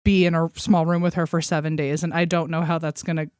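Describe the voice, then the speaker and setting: A woman's voice: low-pitched, flat delivery, fast talker, darkish tone, clear, resonant tone, dry recording, clean studio sound. A young adult woman, a podcast guest, telling personal stories in casual conversation. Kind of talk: casual chat, personal storytelling.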